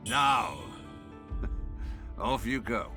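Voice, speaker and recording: A mysterious, mocking figure from a video game. He draws his words out very slowly in a theatrical, teasing way, with big swings of pitch. Music plays clearly behind him. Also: animated. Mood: teasing, dismissive. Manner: mocking, drawling, theatrical, chuckling. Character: taunting, mysterious man mocking a young girl. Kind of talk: video game cutscene acting.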